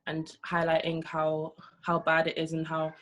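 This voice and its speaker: Flat-voiced woman. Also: deep voice, normal speed, slight background noise. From London. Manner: earnest, passionate. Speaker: panel guest.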